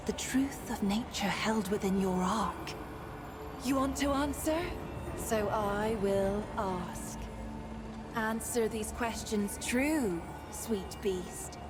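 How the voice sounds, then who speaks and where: A mysterious, otherworldly female seer for a fantasy game. The voice sits a little high and moves slowly, riddling and playfully probing. Music is clearly audible behind it. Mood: mysterious, curious. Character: ethereal wandering female seer spirit, playful, cryptic, probing. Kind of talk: theatrical fantasy game dialogue.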